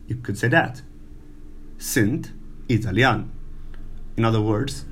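A man who is not a native English speaker giving an informal language lesson, halting and full of fillers, repeating words for the learner. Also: on the low side, a bit bright, slight background noise. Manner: slow, halting, many fillers and repetitions. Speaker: language teacher.

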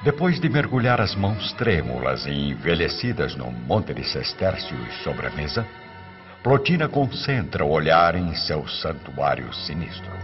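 A man's voice: a bass voice, sing-song, measured pace, full character voice. A man playing the solemn, dramatic narrator of a radio drama, with a Brazilian accent. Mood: solemn, suspenseful. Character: solemn, dramatic male storyteller. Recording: muffled radio drama with prominent music.

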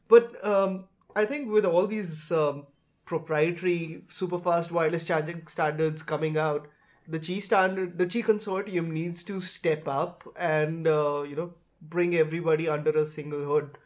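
A man hosting a relaxed, conversational podcast about gadgets and games. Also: fairly high pitch. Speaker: tech podcast host. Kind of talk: casual tech and gaming discussion.

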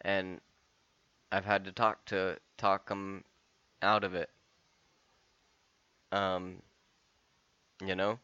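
A man's voice: deep voice, level tone, extremely slow, long pauses, pushed and bright, smooth, no room sound, highs cut off. A man of about thirty talking casually and candidly in a rambling solo podcast monologue, often restarting and swearing. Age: 30s. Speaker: podcast host.

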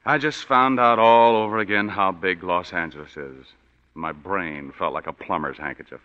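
A man's voice: low-pitched, normal speed, close to an everyday voice. An actor playing a tired, wisecracking male private eye who narrates dryly in a 1940s radio drama, on a dull lo-fi broadcast recording. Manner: dry, sardonic first-person narration.

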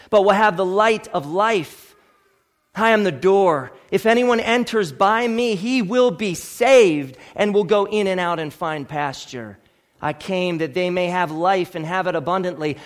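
A man delivering a sermon as a preacher, speaking in an earnest, emphatic, oratorical way with pleading weight. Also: high-pitched. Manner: oratorical, dropping from loud declaration to hushed, slow phrases. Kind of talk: Christmas sermon with recited scripture.

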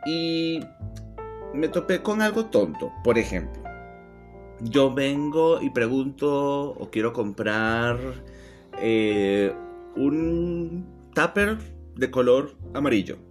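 A man's voice: medium pitch, slow, soft background music. A man with a Venezuelan accent, touched by an Argentine lilt, co-hosting a casual podcast and telling stories that act out quoted dialogue. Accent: Venezuelan Spanish, partly Argentine-tinged. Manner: lively storyteller, acts out quoted dialogue. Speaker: podcast co-host.

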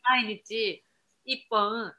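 A woman's voice: a little high, fairly even intonation, very slow, sharp tone, highs cut off. A woman co-hosting a podcast, talking in a chatty, colloquial and conversational way. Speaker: podcast co-host, blogger. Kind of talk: casual chat.

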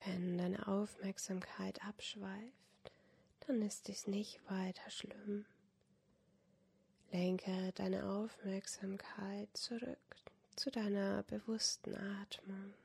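A woman softly guiding a yoga nidra meditation in a breathy, whispery voice, calm and unhurried, with long pauses. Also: mid-range voice. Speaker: yoga nidra guide, podcast host. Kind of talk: guided meditation, soft instruction.

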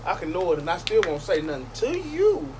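A man's voice: high voice, wildly swooping pitch, fairly quick. A man talking in a casual group chat, lecturing and asking for agreement. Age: older than the others. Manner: preachy, repeats "you hear me?". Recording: noisy background.

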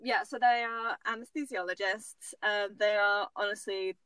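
A woman's voice: medium pitch, fairly even intonation, moderate pace, a bit bright, clear, resonant tone, dry recording. A young Dutch woman in her twenties with an English accent, chatting as a podcast guest and laughing easily. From Netherlands. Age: 20s. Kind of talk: casual chat.

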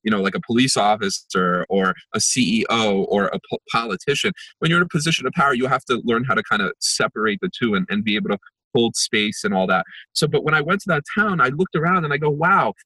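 Man, young adult, interview guest, reflective, husky, dry close recording. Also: medium pitch, lively intonation, fast talker, sharp tone, no room sound, poor audio. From born in Israel, raised in New Jersey and New York. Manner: reflective, many fillers and restarts. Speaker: empathy workshop facilitator, podcast guest. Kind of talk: conversational interview.

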